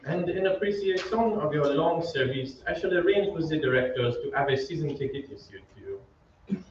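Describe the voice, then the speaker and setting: A man's voice: a little high, animated, natural voice. A man playing an opera maestro in a radio drama, courteous, formal and politely firm. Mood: politely dismissive. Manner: measured, courteous, authoritative. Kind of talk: old-time radio drama acting. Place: theatre stage, live reading. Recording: very echoey stage, distant, muffled, hiss.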